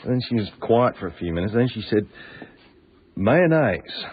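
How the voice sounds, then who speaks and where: An actor playing a breezy, cheeky Australian tunnel worker in a naturalistic radio drama, with a wry, blunt way of talking. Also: male voice, fairly low pitch, very animated, slow, husky, heavily acted. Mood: wry, chatty. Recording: tinny band-limited radio broadcast, audible noise.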